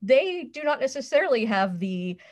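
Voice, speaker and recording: A woman's voice: high voice, very animated, normal speed, a little mellow, lo-fi sound. A woman on a podcast panel chatting casually in a candid, playful, self-deprecating way.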